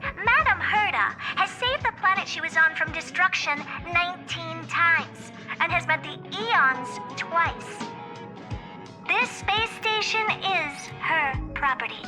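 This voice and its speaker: Very high youthful female voice, eccentric genius scientist, smug, theatrical, video game character, music behind. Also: expressive, slow, full character voice. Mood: proud, matter-of-fact. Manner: self-assured, theatrical, smug.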